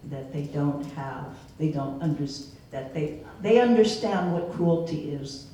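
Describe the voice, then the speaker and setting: Mellow woman speaker in an echoey hall. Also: low voice, expressive, a little slow. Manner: reflective, conversational, storytelling with laughs. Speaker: keynote speaker. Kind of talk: answering an audience question. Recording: echoey hall from far off, faint noise.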